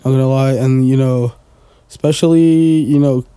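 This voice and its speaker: A man's voice: mid-range voice, steady tone, normal speed, dark tone, smooth, no echo. A man, chatting casually as a podcast guest, rambling with lots of fillers and the odd swear word. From Portugal. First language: Portuguese. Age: 20s. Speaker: guest, music producer.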